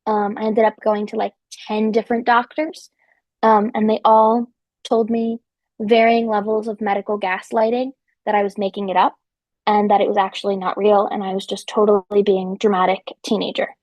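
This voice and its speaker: A woman's voice: medium pitch, level tone, normal speed, no room sound, dull audio. A woman in her twenties from New Jersey, a podcast guest telling her story in a chatty way, with many ums and likes and some technical terms. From central New Jersey. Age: 20s. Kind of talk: casual conversational storytelling.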